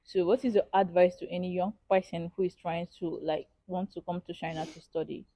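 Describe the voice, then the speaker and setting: A woman's voice: lowish voice, steady tone, fairly quick, mellow, no room sound. A woman interviewing a student, asking friendly questions and paying compliments. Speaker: street interviewer.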